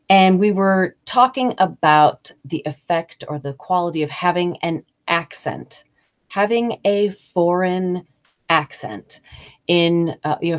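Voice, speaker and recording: An American woman hosting a casual, conversational talk about business English, thinking aloud with occasional fillers. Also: low voice. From United States. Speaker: host.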